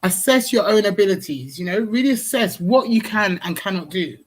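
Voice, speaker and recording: Woman, husky mid voice, direct coaching tone. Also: medium pitch, animated, a little fast. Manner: direct, coaching, lots of "you know". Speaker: workshop co-host. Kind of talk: live online workshop advice.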